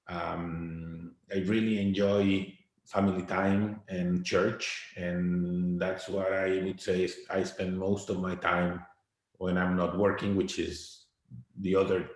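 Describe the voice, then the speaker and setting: A man in his forties from Costa Rica, a guest answering interview questions in a halting way, often repeating his words. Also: sits low. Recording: very echoey room.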